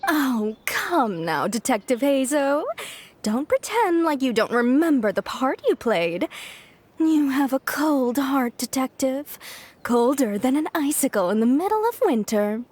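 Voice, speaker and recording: Game role: coy, theatrical young female swindler, high and very animated, teasing and pouty. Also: measured pace, heavily acted. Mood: coy reproach. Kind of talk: video game voice acting.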